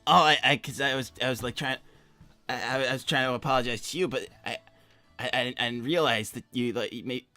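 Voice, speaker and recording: A man plays an earnest young cartoon hedgehog with a sharp, bright voice. He talks haltingly, doubling back on words and trailing off, insecure and rambling in a loose roleplay stream, with faint music underneath. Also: medium pitch, no echo, close to an everyday voice. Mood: hesitant, stunned. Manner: halting, stammering, repeats words. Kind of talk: improvised in-character livestream roleplay.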